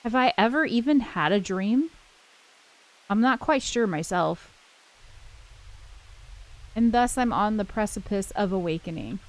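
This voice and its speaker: A woman's voice: mid-range voice, low-quality recording. A woman hosting a let's play, switching between casual chat and reading a visual novel's text aloud. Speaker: host of a gaming channel. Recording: music playing behind the voice. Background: game soundtrack music.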